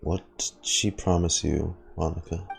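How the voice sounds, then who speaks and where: A man's voice: deep voice, steady tone, measured pace, creaky, slightly rough. A man streaming a casual game reaction, making wry muttered asides.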